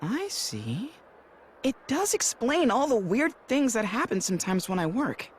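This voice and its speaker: A teenage boy blacksmith in a game scene. He is sincere and protective, with a very expressive, swinging pitch and a touch of hesitancy. Faint noise sits behind the voice. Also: a little slow, exaggerated voice. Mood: surprised, thoughtful. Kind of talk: video game voice acting.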